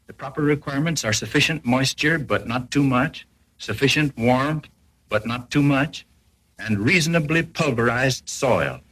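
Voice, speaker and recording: A man narrating a 1940s educational film in a formal, didactic, carefully explanatory way, with a slightly rough, husky voice, on an old film soundtrack. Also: mid-range voice, a bit bright, poor audio.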